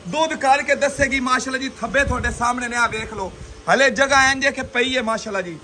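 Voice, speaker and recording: Punjabi-accented young male farm vlogger, high bright voice, salesman patter, outdoor shed on a handheld phone. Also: fairly quick, a little hiss or hum. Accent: Pakistani Punjabi. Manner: chatty salesman patter, repeating stock phrases. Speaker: vlogger showing dairy cattle. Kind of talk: lively livestock sales tour.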